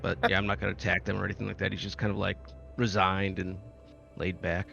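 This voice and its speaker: A man's voice: sits low, completely flat delivery, fast, gravelly, bright, forceful tone, soft background music. A man playing a tabletop game, explaining his character's actions in a hesitant, laid-back, casual way. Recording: dull lo-fi mic, faint noise.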